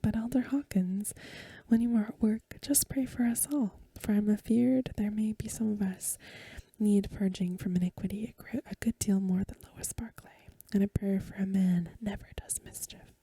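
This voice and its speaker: A woman voicing a wry, good-humoured sea captain in a breathy, whispered storybook reading. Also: mid-range voice, fairly even intonation, moderate pace, warm tone, no room sound. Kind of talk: soft-spoken ASMR storybook reading.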